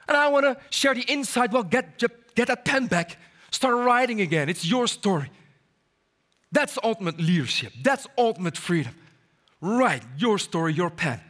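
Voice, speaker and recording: A man speaking on stage with a high voice and a bright, forceful tone. He gives an animated motivational talk and banters with the audience. He switches into French and Arabic mid-talk. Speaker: keynote speaker. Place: on stage before a live audience.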